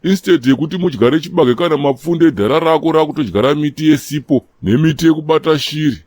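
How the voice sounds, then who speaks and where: A man voicing a boastful, scornful character in an animated comedy, brash and dismissive as he banters in slang with friends. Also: fairly high pitch, fast talker, no echo, close to an everyday voice. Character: boastful, scornful man grumbling about relatives among friends.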